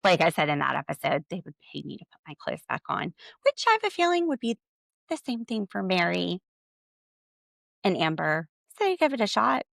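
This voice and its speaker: A woman hosting a podcast alone, recapping a reality show in a chatty, sarcastic way with jokey asides and wide swings in pitch and pace. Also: big pitch swings, brisk, gravelly, fairly bright tone, dry recording. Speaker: podcast host. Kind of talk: solo recap commentary.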